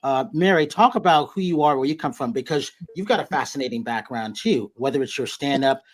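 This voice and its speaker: A man's voice: medium pitch, lively intonation, fairly quick. A man hosting a casual interview, asking long meandering questions. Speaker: host.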